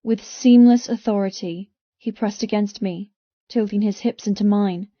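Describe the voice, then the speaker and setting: A woman reading first-person romance fiction as an audiobook narrator, with intense, expressive delivery. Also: mid-range voice, moderate pace, dry recording, muffled recording.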